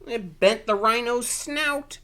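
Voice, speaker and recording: A man's voice: extremely high pitch, takes it slowly, sharp tone. A man reading a children's picture book aloud in a playful, exclamatory manner with dramatic emphasis. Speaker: storytime reader.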